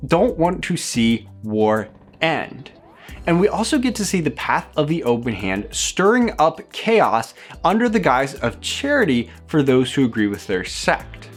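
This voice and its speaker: A man hosting a review video, reading scripted commentary as a content creator. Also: fairly high pitch.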